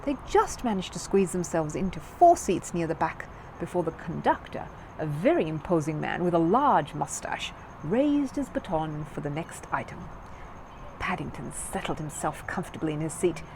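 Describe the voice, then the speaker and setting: A woman's voice: low voice, big pitch swings, normal speed, a little mellow, a little hiss or hum, poor audio. A woman narrating a children's story from a script as a storyteller.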